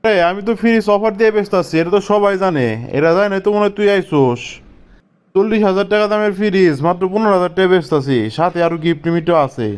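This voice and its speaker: A man's voice: high voice, smooth, close to an everyday voice. A man voicing an easygoing, slick village shopkeeper in a comic cartoon, with chatty colloquial patter and a rural Bangladeshi accent. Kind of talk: comic Bengali cartoon dialogue.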